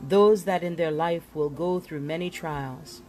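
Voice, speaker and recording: A woman reading glossary entries aloud from a book in an expressive style, giving headwords deliberate, dramatic emphasis. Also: low voice, faint music behind, low-quality recording. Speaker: reader of a book.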